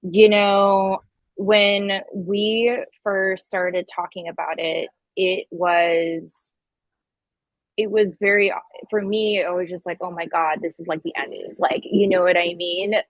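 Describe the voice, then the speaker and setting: Low-voiced woman, casual podcast guest, slightly dull sound. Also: fairly even intonation, slow, dry recording. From Connecticut, US East Coast. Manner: informal, conversational. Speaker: podcast guest, event planner. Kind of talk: casual interview chat.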